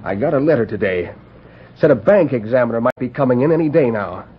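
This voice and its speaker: A man's voice: mid-range voice, expressive, quick pace, slightly rough. Casting: nervous crooked western banker, American, mid-range male voice, dull old radio recording. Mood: worried, urgent. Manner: urgent, fretful, questioning. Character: anxious, crooked frontier banker. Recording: muffled vintage radio broadcast, faint noise.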